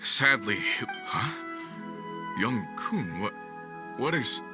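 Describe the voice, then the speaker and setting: A man's voice: medium pitch, sing-song, very slow. A man playing an earnest leader of a search party in a video game, giving a report. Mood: puzzled. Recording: music under the voice.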